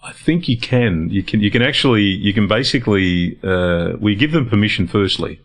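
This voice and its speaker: A middle-aged man talks in a deep voice. He speaks reflectively in a casual interview and often restarts his sentences or repeats words. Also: normal speed. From Lebanon, raised in Australia. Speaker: guest, founder of a men's health organisation.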